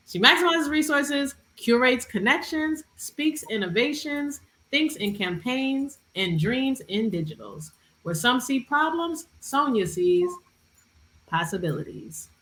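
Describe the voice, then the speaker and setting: A woman's voice: fairly high pitch, fairly bright tone. A woman hosting a podcast in a casual, conversational style. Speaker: podcast host. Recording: roomy, echoey sound.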